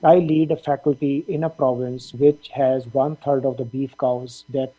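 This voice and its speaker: Husky-voiced man. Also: medium pitch, fairly even intonation, phone-quality sound. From India, Punjab. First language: Punjabi. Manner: thoughtful, measured, with frequent fillers. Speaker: dean of a veterinary faculty. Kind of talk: interview answers.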